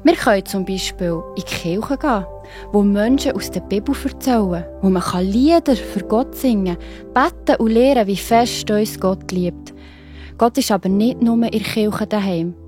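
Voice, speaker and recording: A woman's voice: mid-range voice, moderate pace, dark tone. A woman with a Swiss German accent telling a story to children, explaining it simply and expressively. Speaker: narrator. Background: music.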